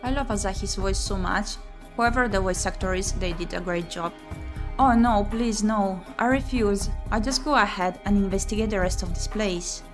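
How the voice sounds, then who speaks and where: Female game streamer, medium pitch, theatrical read-aloud of visual novel text plus commentary, prominent background music. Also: normal speed.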